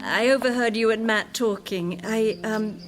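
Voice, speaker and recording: A woman's voice: on the high side, unhurried, lightly acted. A woman acting a discontented, self-absorbed wife with a biting, emphatic delivery in a radio drama. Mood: falsely polite. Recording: prominent music behind the voice.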